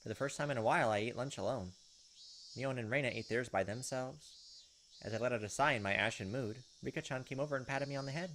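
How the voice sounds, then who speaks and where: A man's voice: mid-range voice, fast, a bit bright, slight background noise. A man hosting a let's play, reading a visual novel aloud and commenting casually as he goes.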